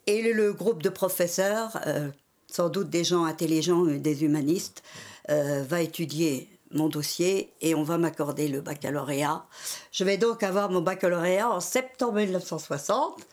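An older French woman recalling her schooling and early adult life in an interview, telling it in a measured way in the present tense. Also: lowish voice, a little mellow. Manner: measured storytelling in the historic present. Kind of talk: oral history interview.

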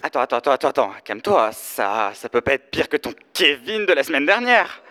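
A woman's voice: rumbling low, very animated, brisk, fairly bright tone, heavily acted. A teenage girl playing a sardonic, offhand best friend in a comic audio drama. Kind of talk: comic audio fiction acting.

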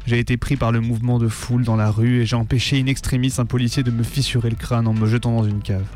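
A man's voice: medium pitch, little pitch movement, quick pace, a little mellow, soft background music. A man giving a scripted reading of a historical account. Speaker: reader of a quoted testimony.

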